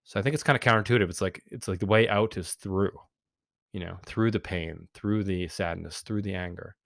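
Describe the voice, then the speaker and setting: Deep-voiced earnest man, dry close sound. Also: fairly quick, creaky, no echo. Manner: earnest, reflective, with frequent fillers. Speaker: podcast guest, men's group founder. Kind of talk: casual interview talk.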